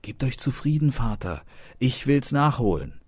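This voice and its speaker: A man's voice: mid-range voice, big pitch swings, normal speed, a little mellow, husky, no echo, pitched above the performer's own voice. Breezy young student son, a man acting. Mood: placating, confident. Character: carefree, confident young male student, cheeky son.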